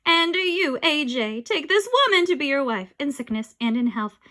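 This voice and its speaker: A woman's voice: high voice, animated, moderate pace, sharp tone, pitched above the performer's own voice. A woman doing a pompous droning mayor. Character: pompous male town mayor officiating. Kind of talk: storybook reading.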